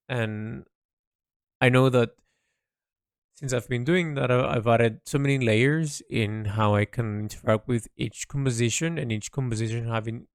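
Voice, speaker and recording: Gravelly man thinking aloud, searching for words. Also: medium pitch, dry recording, studio quality. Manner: hesitant, searching for words, trailing into "sort of". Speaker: artist and writer keeping an audio log of their project. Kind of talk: reflective solo monologue, casual and unscripted.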